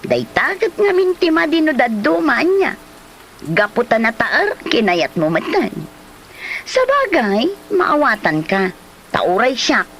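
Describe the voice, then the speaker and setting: A woman's voice: a little high, fairly bright tone, a little hiss or hum, exaggerated voice, low-quality recording. A woman playing a frank, indignant friend in a comedy drama, emphatic and exclamatory, with a Filipino accent. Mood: frank sympathy.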